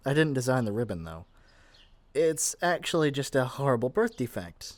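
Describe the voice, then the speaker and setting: Man acting possessive young male witch, lovestruck, gushing, flustered, mid-pitched, close dry sound, ASMR roleplay. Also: sing-song, moderate pace, no room sound, lightly acted. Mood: excited, gushing. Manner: flustered, gushing, chatty, with sighs and trailing hesitations. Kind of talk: ASMR roleplay addressed to the listener.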